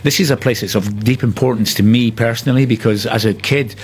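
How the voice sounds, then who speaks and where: A man reminiscing thoughtfully, with hesitant pauses and "you know"s. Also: medium pitch, fairly even intonation. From Scotland. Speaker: interviewee. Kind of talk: reflective reminiscing. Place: indoors in a museum.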